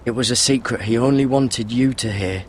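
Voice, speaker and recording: A man's voice: mid-range voice, normal speed, a little hiss or hum, natural voice. An actor playing an earnest, dutiful young squire turned watchman in a fantasy game, with a questioning manner. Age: young adult. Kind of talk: dramatic fantasy game acting.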